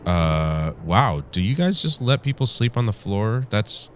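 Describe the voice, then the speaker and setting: A man hosting a casual gameplay commentary, rambling and wryly griping, his voice congested and strained from yelling. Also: lowish voice, sing-song, measured pace. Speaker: gaming show host. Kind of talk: casual let's play commentary. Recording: clear background noise.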